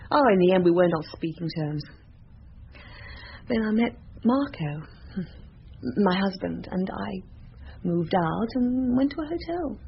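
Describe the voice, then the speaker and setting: Haughty, swooping young madame. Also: female voice, mid-range voice. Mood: scornful. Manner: haughty, theatrical, disdainful. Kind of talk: radio drama acting. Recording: dull, slightly noisy radio drama recording.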